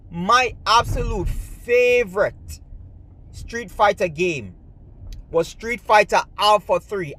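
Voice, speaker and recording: A man from Jamaica who keeps his Jamaican accent talks straight to his audience in a heated, rambling rant. His voice sits high and sounds bright and tense. He restarts his sentences often as the feeling runs ahead of the words. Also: no echo. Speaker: gaming channel host. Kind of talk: casual ranting commentary to camera.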